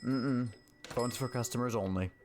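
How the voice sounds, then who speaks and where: A man's voice: mid-range voice, moderate pace, a little mellow, soft background music, close to an everyday voice. A man playing a smirking, mocking, unhelpful gas station clerk in a comic dub. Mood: stonewalling.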